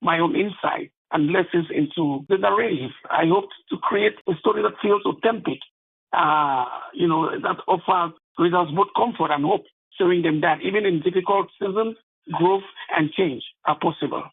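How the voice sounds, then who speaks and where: A man with a Cameroonian accent speaks in a high, gravelly voice over a thin phone-like line. He is an author answering interview questions earnestly, in halting phrases peppered with "you know".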